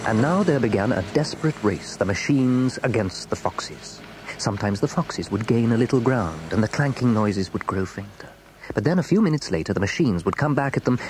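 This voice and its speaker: A man narrating a children's audio story in an expressive, suspenseful way. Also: mid-range voice, noisy background, close to an everyday voice. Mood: dramatic.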